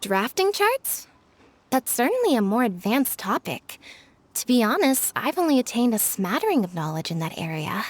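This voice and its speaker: An actress playing a proud, chatty young ship's navigator in a video game, explaining things with eager enthusiasm. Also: female voice, fairly high pitch, big pitch swings, normal speed, no room sound. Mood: helpful, modest. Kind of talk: scripted video game dialogue.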